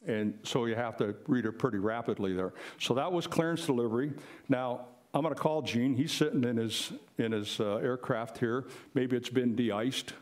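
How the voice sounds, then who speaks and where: A man's voice: mid-range voice, normal speed. An older man speaking plainly and explaining things in an informal presentation to a live audience. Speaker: presenter, retired air traffic controller.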